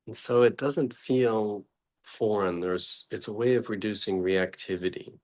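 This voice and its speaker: A man leading an unscripted guided meditation as a teacher, speaking haltingly in short phrases with long pauses between them. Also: fairly low pitch, darkish tone.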